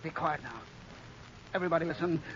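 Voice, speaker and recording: An actor playing a ruthless, bullying gang leader who barks orders with desperate bluster in a 1930s radio crime drama. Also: male voice, fairly high pitch, fast talker, a bit bright, close to an everyday voice. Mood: frantic, bossy. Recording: muffled, noisy 1930s radio recording.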